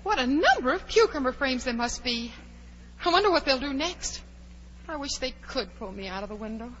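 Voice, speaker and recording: A woman's voice: sits high, expressive, moderate pace. A woman playing a curious, chatty, plucky young girl who wonders aloud in a 1940s radio drama. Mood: plucky, indignant. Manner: wondering aloud, exclaiming, polite. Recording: dull, hissy 1940s radio recording.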